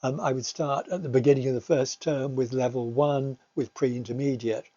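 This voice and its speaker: A man's voice: medium pitch, steady tone, moderate pace, mellow. Older man presenting, muffled recording. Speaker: English teacher giving a recorded talk. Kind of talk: informal slide presentation.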